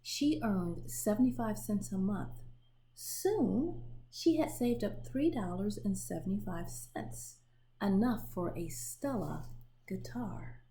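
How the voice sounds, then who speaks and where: A woman reading a children's picture book aloud in an expressive storytelling manner, pausing to show the pictures. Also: medium pitch, vocal fry, poor audio. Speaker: storybook reader.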